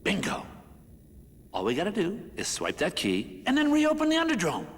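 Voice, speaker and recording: An actor playing a scheming god of the underworld, smug and sarcastic, with a slick theatrical delivery in a video game. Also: male voice, high voice, huge pitch swings, moderate pace, a bit bright, long reverb tail, heavily acted. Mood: sly, amused.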